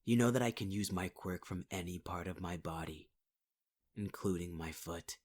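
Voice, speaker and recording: A man's voice: low voice, fairly even intonation, moderate pace, a little mellow, slightly rough. A man performing a possessive male villain in an ASMR audio roleplay, speaking close to the mic in a taunting, half-whispered voice and calling the listener sweetheart. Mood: menacing.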